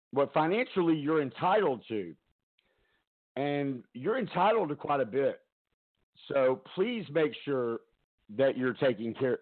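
A man hosting a casual talk stream from home, loud-voiced, rambling and chatty, often laughing, talking into a headset. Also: on the high side, narrow, tinny audio. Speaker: talk show host.

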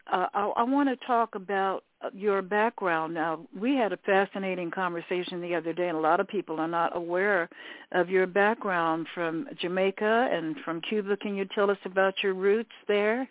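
A woman's voice: mid-range voice, dry recording. An older woman hosting a conversational radio interview as the interviewer. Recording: phone-like, narrow radio sound.

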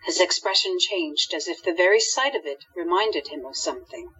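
A woman's voice: lowish voice, lively intonation, normal speed, pushed and bright, husky. A woman narrating a scripted radio drama as storyteller, on dull lo-fi audio.

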